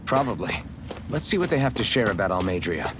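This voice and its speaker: Low-voiced, earnest young hero. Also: male voice, very animated, a little fast, noisy background. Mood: matter-of-fact. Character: steady, considerate young male adventurer hero. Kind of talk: video game voice acting.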